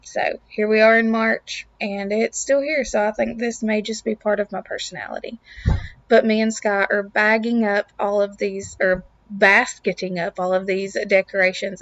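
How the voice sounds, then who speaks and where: Raspy-voiced young mom vlogging. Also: female voice, medium pitch, a bit bright, dull audio. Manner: upbeat, earnest, faith-filled. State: lingering cough, throat clearing. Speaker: lifestyle vlogger, mom of two. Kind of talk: casual vlog voiceover. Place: home, voiceover.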